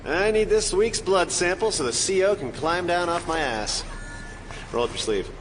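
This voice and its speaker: Wry military medic, animated. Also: male voice, high-pitched, moderate pace, big-room sound. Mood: wry, griping. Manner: wry, informal, griping banter. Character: grumbling young military medic, wry and chummy. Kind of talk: audio drama acting.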